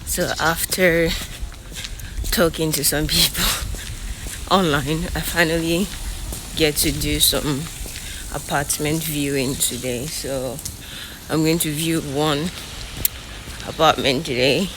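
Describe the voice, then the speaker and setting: Young woman vlogger, deep-ish voice, casual storyteller; phone at arm's length, heavy traffic noise. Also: fairly even intonation, slow, long pauses. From Nigeria. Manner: chatty, laughs often between lines. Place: outdoors near a road. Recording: noisy street recording with passing traffic.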